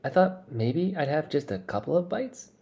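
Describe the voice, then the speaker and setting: A man's voice: a little high, big pitch swings, normal speed, mellow, pitched above the performer's own voice. A man voicing an eager, slightly wistful boy who is easily tempted, in an audiobook story. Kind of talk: acted audiobook dialogue.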